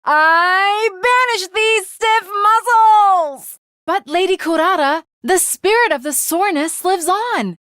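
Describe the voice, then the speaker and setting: Earnest, high-pitched anime swordswoman girl. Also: slow pace, a bit bright, no room sound, studio quality, full character voice. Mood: fierce, battling. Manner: formal, archaic, polite diction with dramatic flourishes. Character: earnest, lovestruck, naive teenage girl swordswoman. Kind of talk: anime video game voice acting.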